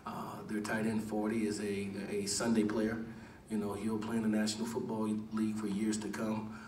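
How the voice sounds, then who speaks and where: A middle-aged man coaching a football team speaks at a postgame press conference, low-pitched and fairly flat in melody. He talks in a measured, earnest way, full of "uh"s and restarts. The sound comes from across a large echoey room with faint background hum. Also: distant mic. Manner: measured, earnest, many "uh" pauses and repeated words. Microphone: table mic, recorded from across the room.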